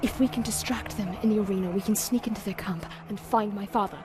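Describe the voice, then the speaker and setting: A woman's voice: mid-range voice, fast talker, over music, lightly acted. A woman acting as a determined, earnest heroine in a video game, speaking with urgency. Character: earnest, determined young woman planning a stealthy rescue.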